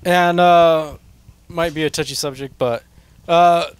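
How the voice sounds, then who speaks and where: Chill dude host, voice sits a bit high, lots of uh and um. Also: male voice, takes it slowly, clean tone. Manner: relaxed, hesitant questions with fillers. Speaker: podcast host. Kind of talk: casual interview.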